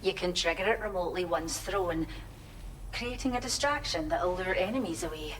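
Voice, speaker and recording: An actress playing a friendly, grateful wildlife sanctuary researcher in a video game, explaining things gently and colloquially. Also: female voice, lowish voice, moderate pace, slight background noise, natural voice. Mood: earnest explaining. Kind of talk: video game character dialogue.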